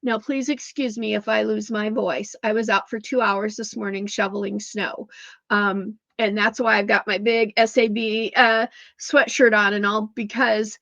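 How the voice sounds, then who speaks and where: A woman's voice: mid-range voice, little pitch movement, measured pace, creaky, a bit bright, no echo. A middle-aged woman with a slightly scratchy voice, chatting her way through a quilting lesson and correcting herself as she goes. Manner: chatty, digressive, self-correcting. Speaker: quilting instructor. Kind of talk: chatty sewing tutorial. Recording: dull lo-fi dry sound.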